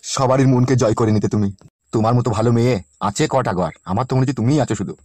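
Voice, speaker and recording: A man playing a scheming, lecherous politician in a comedy cartoon, crude and smooth-talking, with a West Bengal Bengali accent. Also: medium pitch, fast talker, a little mellow, natural voice. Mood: sweet-talking, flirtatious.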